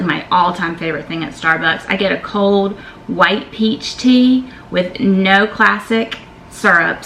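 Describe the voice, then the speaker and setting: A woman's voice: medium pitch, fairly bright tone, echoey room, slight background noise, rough recording. A woman, chatting candidly as she reads out questions and answers them in a vlog. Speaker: vlogger. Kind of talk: casual Q&A vlog.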